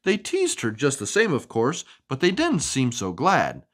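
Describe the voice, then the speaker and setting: A woman reading a storybook aloud to a young child in an expressive, sing-song way. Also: very deep voice, measured pace. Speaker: storybook reader.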